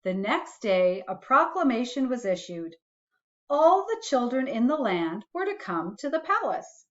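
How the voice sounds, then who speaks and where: A woman reading a children's storybook aloud expressively, adding musing asides to young listeners in a deliberate way. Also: on the high side, very animated, muffled recording.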